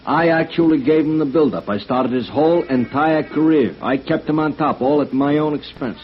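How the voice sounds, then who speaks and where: An actor playing a shifty, self-pitying talent agent, slangy and defensive, in a 1950s radio noir drama. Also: male voice, a little high, moderate pace, muffled recording, soft background music, close to an everyday voice. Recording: noisy band-limited vintage radio recording.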